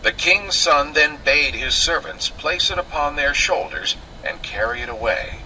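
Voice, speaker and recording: A man's voice: a little high, expressive, unhurried, sharp tone, slight background noise, low-quality recording. A man narrating a scripted fairy tale in a dramatic, old-fashioned storytelling manner.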